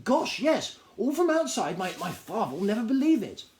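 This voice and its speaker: A bouncing, excitable young gnome, voiced by a male audiobook narrator. The voice rides up high and swings wildly between words, eager and a little pompous. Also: normal speed, slight background noise. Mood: excited. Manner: eager, breathless, gushing.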